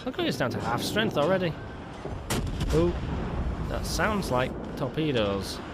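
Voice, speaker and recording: A man's voice: a little high, very animated, a little slow, very noisy. A man hosting casual gameplay commentary, rambling with stumbling restarts and a lingering cough. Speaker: gaming video host.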